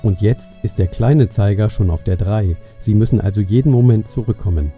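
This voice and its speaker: A man's voice: on the low side, normal speed, dark tone, faint music behind. A man narrating a scripted Christmas story for children as its storyteller.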